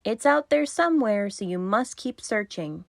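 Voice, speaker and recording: A woman voicing a shy, dainty female mayfly in a storybook, with a gentle manner. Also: medium pitch, animated, moderate pace, vocal fry, dry recording, clean studio sound.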